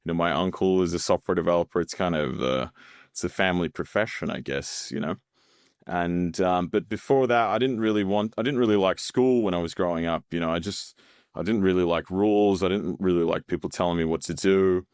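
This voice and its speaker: Aussie guy in his 30s, really low voice, laid back podcast chat, dry close sound. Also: fairly quick, clean tone, no echo. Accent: Australian. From Australia. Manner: relaxed storyteller, laughs easily, many fillers. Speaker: podcast guest, founder. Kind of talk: casual interview chat.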